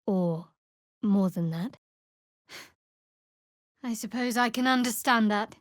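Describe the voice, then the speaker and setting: Guarded, wry fantasy cleric, high-voiced. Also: female voice, slow pace, no room sound. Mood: guarded, measured. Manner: dry, sardonic, guarded. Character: guarded, wry young woman cleric of a dark goddess. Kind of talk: fantasy video game voice acting.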